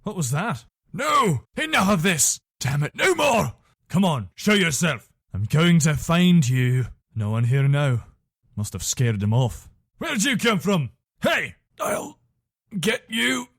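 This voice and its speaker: A man's voice: high voice, a bit bright, no room sound, studio quality, heavily acted. A man playing a loyal, weary imperial soldier in a fantasy video game, speaking in an earnest, conversational, soldierly way. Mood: aggressive, shouting. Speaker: voice actor for a game character. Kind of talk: video game character dialogue.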